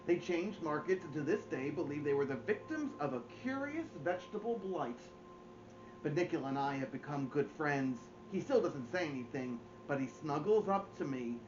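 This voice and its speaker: A middle-aged man reading aloud to children as a story time host, with theatrical delivery and deliberate pauses. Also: a little high, lively intonation, highs cut off, noisy background. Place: indoors, small home room.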